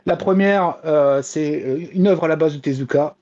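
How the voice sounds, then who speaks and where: A woman's voice: sits low, normal speed, warm tone, dull audio. A woman giving an enthusiastic, casual review as a manga columnist, with hesitant phrasing.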